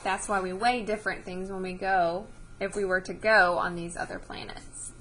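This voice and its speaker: A woman's voice: medium pitch, steady tone, normal speed, a bit bright, slight background noise. A woman teacher reading a science book aloud to children, explaining as she goes and checking understanding with short questions.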